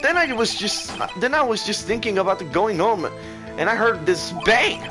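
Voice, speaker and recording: Man putting on an excitable, high-pitched young slacker witness. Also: moderate pace, over music. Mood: uneasy recounting. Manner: rambling, defensive, stammering. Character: excitable, flustered young male witness, slacker friend of the lawyer.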